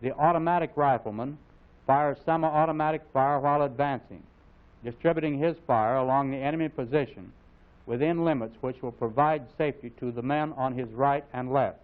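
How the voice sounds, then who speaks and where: An American man reading scripted military instructional narration in a formal, authoritative, measured way, as on a mid-century training film. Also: medium pitch. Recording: phone-like vintage film soundtrack, narrow band.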